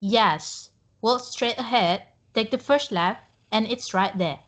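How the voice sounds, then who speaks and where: A woman's voice: on the high side, slow, a bit bright. A young woman playing a helpful British woman giving directions in a student classroom role-play. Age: young adult.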